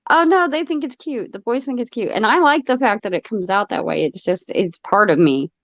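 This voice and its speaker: A woman's voice: medium pitch, expressive, brisk, a bit bright, dry recording. A middle-aged American woman with an Ohio accent and a trace of Florida, rambling and laughing often as she chats on a live stream. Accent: Ohio, with some Florida. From United States. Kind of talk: casual live stream chat. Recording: dull, dry lo-fi mic.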